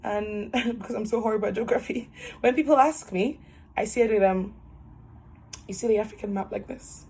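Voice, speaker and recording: A woman's voice: mid-range voice, normal speed, breathy edge. A woman, telling her story in a cheerful, laughing way over a video call from a small room at home. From Cameroon. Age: 20s. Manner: cheerful, laughs often, animated hands. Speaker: interviewee, student nurse. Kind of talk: casual personal story. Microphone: webcam on a video call.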